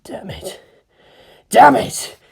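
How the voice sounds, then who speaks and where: A woman's voice: very slow, a little mellow, in a whisper. A woman voicing a hot-headed, self-blaming teenage schoolboy in a fan fiction reading. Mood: frustrated, angry.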